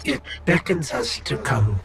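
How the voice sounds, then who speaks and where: A man's voice: mid-range voice, expressive, a little slow. A man playing a small, loyal, wary robot companion in a video game, with an earnest and dramatic delivery. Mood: uneasy, entranced. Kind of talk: video game cutscene acting. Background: music.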